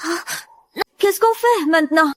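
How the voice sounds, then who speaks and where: Very high-voiced fearful girl, acted by a woman. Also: lively intonation, slow, a bit bright, slight background noise, full character voice. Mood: worried. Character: wounded, fearful young sister of a martial warrior. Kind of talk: dubbed animated drama acting.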